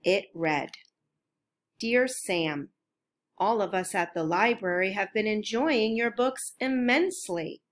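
A woman reads a children's picture book aloud at a slow, unhurried pace. Her pitch sits in the middle range for a woman. She tells the story carefully and expressively, as for young listeners. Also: expressive. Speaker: storybook reader.

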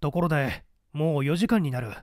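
A man's voice: a little high, moderate pace, a little mellow, no echo, clean studio sound, lightly acted. A man voicing an agitated, authoritative police section chief, blunt and tense, in a dramatized audiobook. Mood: impatient.

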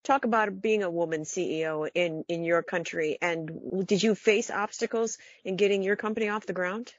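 Low-voiced female interviewer, muffled audio. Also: normal speed, dry recording. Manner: direct, prompting questions. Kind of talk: news interview questions.

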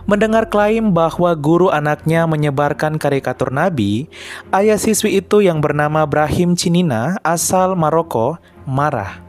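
A man reading a scripted news report as a voice-over, in a measured and earnest tone. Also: a little high, expressive. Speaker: channel narrator. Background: faint background music.

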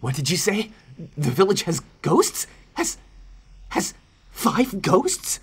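Bewildered royal knight acted by a man, male, incredulous asides, very animated intonation, loud music behind. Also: sits high, slow pace, husky, full character voice. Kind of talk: animated fairy tale acting. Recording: voice over loud background music.

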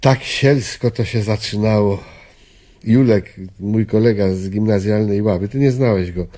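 An actor playing a weary, melancholy old man, speaking reflectively and in measured phrases in a radio drama. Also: lowish voice, mellow, breathy edge. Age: older. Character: weary, melancholy old widower haunted by wartime memories.

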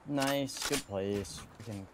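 A man's voice: on the low side, takes it slowly, very noisy. A man chatting casually with his squad while gaming, laid-back and joking at himself. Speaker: squad teammate. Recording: voice chat with loud gunfire behind.

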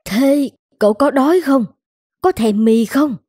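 An actress playing a kindly, brisk older woman who runs a noodle shop in a cartoon, plain-spoken and reassuring. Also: sits high, warm tone, breathy edge, dry recording, studio quality. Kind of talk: animated moral-tale voice acting. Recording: music under the voice.

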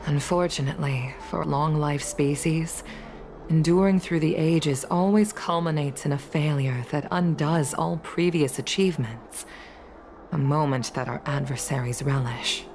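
A woman's voice: low-pitched, measured pace, darkish tone, soft background music. A woman playing a mature, courteous and wistful retired pilot in a video game, speaking in a polite, reflective way. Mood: apologetic, reflective.

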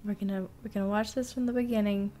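A woman's voice: medium pitch, fairly even intonation, fast, dark tone, slight background noise, natural voice. A young woman playing a bossy, teasing step-sister in an intimate ASMR roleplay, often dropping toward a whisper. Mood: teasing, relaxed.

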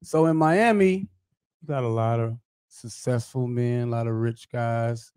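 A man hosting a podcast with hyped, slang-heavy energy. His voice sits at a middle pitch and sounds close and dry, with no room around it. He calls out greetings loudly and keeps the chat rolling. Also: unhurried, smooth, no room sound. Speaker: podcast host.

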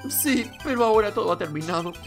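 A man's voice: high-pitched, lively intonation, fast talker, music in the background. A man voicing a timid, despondent young husband in a game, hesitant and stammering. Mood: despairing.

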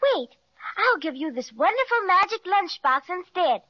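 A well-mannered, anxious little girl in an old radio serial. The voice is very high and sharp, with dry, dull vintage sound. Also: big pitch swings, normal speed, dry recording. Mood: pleading. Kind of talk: 1930s radio children's serial acting. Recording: dull 1937 radio recording.

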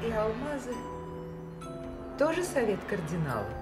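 A woman's voice: fairly high pitch, sing-song, moderate pace. An actress playing a proud, anguished queen of France in a radio drama, with an emotional, regal manner. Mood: wary. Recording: loud music behind.

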